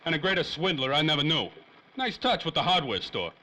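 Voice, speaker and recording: Man playing a sharp, fast hard-boiled detective. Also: fairly high pitch, sing-song, low-quality recording. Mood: needling. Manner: clipped slangy tough-guy talk. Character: tough, menacing hard-boiled former private detective. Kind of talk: 1930s old-time radio crime drama acting.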